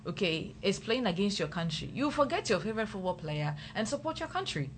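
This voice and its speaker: A Ghanaian woman co-hosting a casual talk show, animated and laughing often, slipping into Twi. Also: medium pitch, fairly quick, a little hiss or hum. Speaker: co-host. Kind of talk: casual chat.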